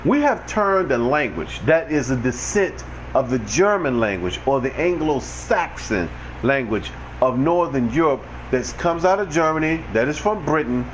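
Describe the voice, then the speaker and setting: A man's voice: on the high side, a little hiss or hum, rough recording. A man giving a blunt, ranting, preachy monologue to his livestream viewers over a headset mic. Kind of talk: unscripted monologue.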